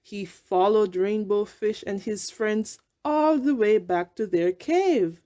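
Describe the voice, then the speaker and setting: Slow-paced woman reading a children's storybook. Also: medium pitch, mellow. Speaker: storybook reader. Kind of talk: children's read-aloud narration.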